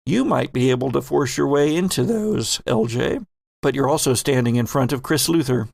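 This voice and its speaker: A man hosting and game mastering a tabletop podcast, shifting between casual banter and deliberate, theatrical storytelling. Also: medium pitch, vocal fry, a little mellow, no room sound, studio quality. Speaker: host and game master of an actual-play podcast.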